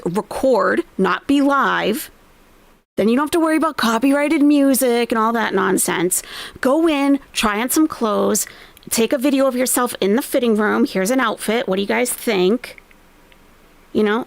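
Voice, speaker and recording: A woman's voice: on the high side, creaky, no echo. An American woman giving blunt, sarcastic commentary on a live stream, scolding the streamer. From United States.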